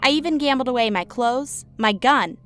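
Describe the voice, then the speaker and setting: A woman's voice: sits high, expressive, moderate pace, a bit bright, clear, resonant tone. An actress voicing a broke, cheeky young woman gambler in a game, chirpy and self-mocking. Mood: storytelling. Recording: game audio with faint music.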